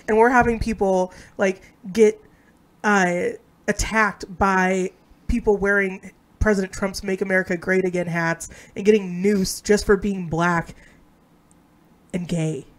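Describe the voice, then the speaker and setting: Woman, low husky sick voice, stuffy and hoarse, outspoken ranting co-host, casual podcast chat. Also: fairly even intonation, measured pace.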